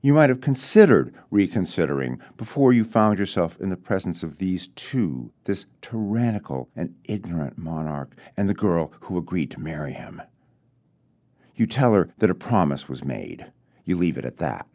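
A man reading his own literary fairy tale aloud in a measured, deliberate way, with dramatic pauses. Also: deep voice, very animated, warm tone. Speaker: author reading his own short story.

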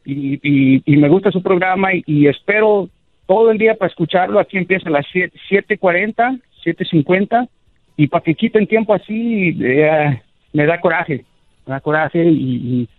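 A man calling in to a radio show, adoring and halting, with many fillers and restarts. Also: sits high, animated, no echo. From United States. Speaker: radio caller. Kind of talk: phone call to a radio show. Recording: tinny phone line.

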